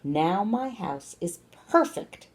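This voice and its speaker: A woman's voice: mid-range voice, very animated, takes it slowly. A woman voicing a polite, admiring and eager male hermit crab in a children's storybook. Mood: pleased. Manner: polite, earnest.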